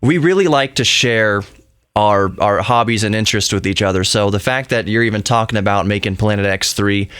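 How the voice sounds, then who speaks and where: A man's voice: fairly low pitch, fairly bright tone, clear, resonant tone, no echo. An American man from Texas hosting a radio show in a casual, conversational, slightly rambling way. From Texas, United States. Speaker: radio show and podcast host.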